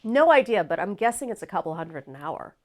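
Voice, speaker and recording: A woman's voice: mid-range voice, sing-song, brisk. A woman hosting a casual live talk show, speaking frankly, laughing often and reading out viewer comments. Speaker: host. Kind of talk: casual chat.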